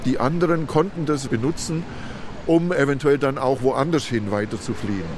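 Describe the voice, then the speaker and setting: Man explaining amid clear street noise. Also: medium pitch, moderate pace. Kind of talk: informal spoken explanation. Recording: noisy outdoor street with traffic.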